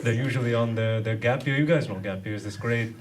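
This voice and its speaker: Stand-up comic from Sri Lanka, dry and hesitant, mid pitch, distant club recording. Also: male voice, fast talker, noisy background. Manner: dry, deadpan delivery with hesitant fillers. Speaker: stand-up comedian. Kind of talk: stand-up comedy set. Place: nightclub stage, seated, before a laughing audience. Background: audience laughter.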